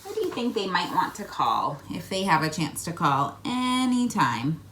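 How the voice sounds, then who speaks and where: Expressive woman reading aloud to children. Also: fairly low pitch, normal speed. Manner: playful, expressive, prompting the listener with questions. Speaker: children's read-aloud host. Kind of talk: picture book read-aloud with questions to young listeners.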